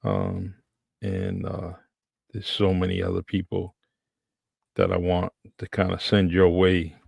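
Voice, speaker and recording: A man hosting a podcast, talking in a relaxed way with many pauses and fillers. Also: deep voice, vocal fry, slightly rough, no echo. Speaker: podcast host. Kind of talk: casual conversation.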